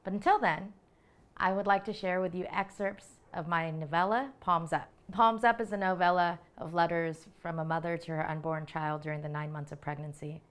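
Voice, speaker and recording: A woman hosting a literature segment, introducing it and reading from her own novella in an expressive way. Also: lowish voice, normal speed. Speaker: segment curator and host.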